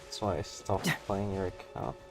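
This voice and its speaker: A woman's voice: extremely low pitch, fairly even intonation, moderate pace, a little mellow, soft background music. A woman making casual chat as a companion during someone's video gameplay. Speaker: gaming companion.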